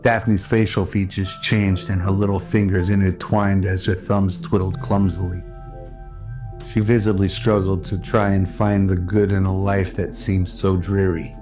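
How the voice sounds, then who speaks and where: A man's voice: deep voice, flat delivery, measured pace. A man reading scripted narration for an audio drama. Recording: music under the voice. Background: music.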